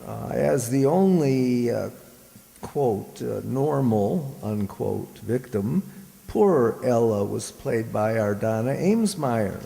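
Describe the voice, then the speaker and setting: A man announcing the credits of an amateur radio drama, in a measured, playfully formal way. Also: medium pitch, lively intonation, creaky, mellow. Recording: echoey hall with background noise.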